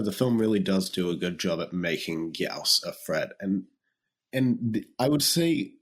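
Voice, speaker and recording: Hoarse, sick man with a husky voice. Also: fairly low pitch. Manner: teasing, jokey banter. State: hoarse, losing his voice from illness. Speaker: podcast co-host. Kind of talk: casual film discussion.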